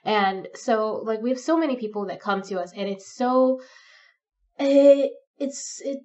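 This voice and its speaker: A woman's voice: a little high, unhurried, darkish tone. Congested woman rambling, distant and muffled. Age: 30s. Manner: rambling, hesitant, many ums and likes. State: congested with a cold, sniffly. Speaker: pagan vlogger. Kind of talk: unscripted rambling monologue. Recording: distant, dull lo-fi sound.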